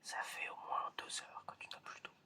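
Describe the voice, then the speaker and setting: An ASMR performer playing an eccentric examiner in a whispered roleplay, speaking slowly and hesitantly and repeating phrases. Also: sharp tone. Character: eccentric examiner testing whether the listener is human.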